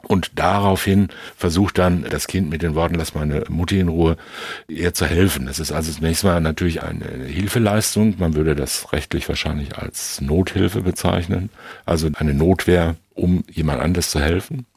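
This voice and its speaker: Male expert guest, very low and rough-edged voice, measured and precise in analytical discussion. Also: a little mellow, no echo. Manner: measured, precise, explanatory with legal terms.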